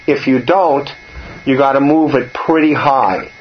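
An older man with a low voice, hosting a casual radio chat and telling stories with lively jumps in pitch. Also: a little slow, muffled recording, slight background noise. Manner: storytelling, animated, jumps up in pitch.

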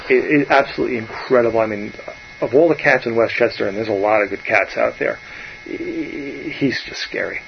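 A man, a bass player chatting casually and rambling on, often trailing off softly. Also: a little fast, gravelly, dull audio, slight background noise. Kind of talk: casual musician chat.

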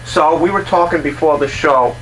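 Bright-voiced chatty show host man. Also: a little high. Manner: chatty, complimentary, halting restarts. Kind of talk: casual interview chat. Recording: distant mic with faint noise.

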